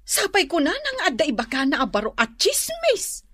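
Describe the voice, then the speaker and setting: A woman's voice: high voice, wildly swooping pitch, normal speed, fairly bright tone, dry recording, clean studio sound, exaggerated voice. An actress playing a teasing, chatty village woman who loves gossip, in a comedy radio drama. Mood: gossipy.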